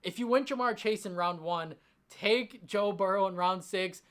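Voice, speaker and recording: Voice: medium pitch, moderate pace, a bit bright. A fantasy football host giving advice straight to the audience, emphatic and enthusiastic, with a voice slightly strained from long talking. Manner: emphatic, enthusiastic about the picks. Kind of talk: direct-to-audience sports advice commentary.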